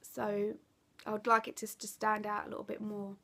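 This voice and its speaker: A woman's voice: medium pitch, monotone, normal speed, gravelly, pushed and bright. A woman with an Essex accent and a blocked, stuffy nose, chatting casually to camera as a craft vlogger, rambling with lots of ums and self-corrections. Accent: Essex English. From England.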